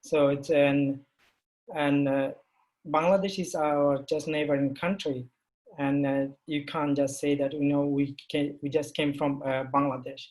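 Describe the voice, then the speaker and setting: Flat-voiced man, halting second-language speech. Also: on the high side, rough recording. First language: Rohingya. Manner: halting, many restarts and fillers. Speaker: panel guest. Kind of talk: panel discussion.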